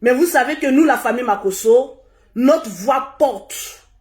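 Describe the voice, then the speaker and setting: A woman hosting a casual live stream, hoarse and husky from a cold, repeating herself as she urges viewers to share. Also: high voice, measured pace, a little mellow. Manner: repetitive, urging viewers to share. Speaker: livestream host.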